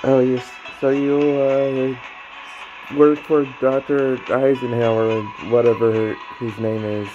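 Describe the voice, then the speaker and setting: A man giving casual, halting commentary as he plays a video game. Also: mid-range voice, flat delivery, dull audio. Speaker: gaming streamer. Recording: loud game music behind. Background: video game music and dialogue.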